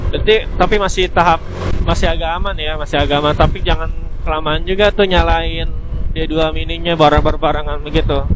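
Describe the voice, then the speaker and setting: A man with a casual Jakarta-area Indonesian accent, explaining gear in a colloquial, rambling motorcycle vlog. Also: fairly high pitch. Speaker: motorcycle vlogger. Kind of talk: casual vlog review, explaining while riding. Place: outdoors on a motorcycle by a road. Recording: muffled, clearly noisy outdoor motorbike recording.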